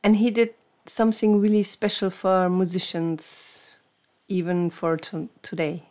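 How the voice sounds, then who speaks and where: A woman co-hosting a podcast, calmly prompting her co-host with questions and closing the episode. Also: fairly low pitch, unhurried. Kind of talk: casual chat and episode sign-off.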